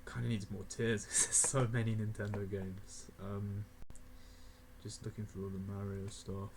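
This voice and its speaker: A young man of eighteen talks loosely through his rankings, his voice sitting a little low, with frequent chuckles and filler words. A faint hum of the room sits behind him, with the odd mouse click. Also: level tone, moderate pace. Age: young adult (18). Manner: rambling, laughing often, many fillers. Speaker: gaming video creator. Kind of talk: casual tier list commentary.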